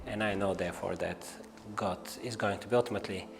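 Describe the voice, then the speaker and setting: A man in his forties with a Bulgarian accent, a lecturer giving a thoughtful personal testimony with many pauses and fillers. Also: deep voice, fairly even intonation, vocal fry. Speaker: Old Testament lecturer. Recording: reverberant room with faint music behind.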